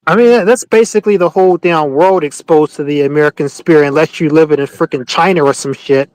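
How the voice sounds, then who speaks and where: Blunt high-pitched guy, cuts in a lot, dry close mic. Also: fast, darkish tone, dry recording, poor audio. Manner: blunt, interjects with "dude" and "man". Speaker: chat participant. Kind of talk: casual online group chat.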